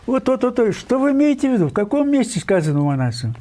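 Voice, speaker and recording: High-voiced older man, insistent and digressive. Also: big pitch swings, warm tone. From Kyrgyzstan. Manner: insistent, digressive, many pauses and fillers. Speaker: guest writer. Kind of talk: emphatic interview talk.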